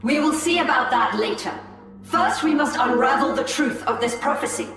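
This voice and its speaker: Macha, a proud elf seer. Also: female voice, high voice, expressive. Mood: measured. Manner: measured, solemn. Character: scheming female elf seer, calm and proud. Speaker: Farseer. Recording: echoey hall, from far off, loud music.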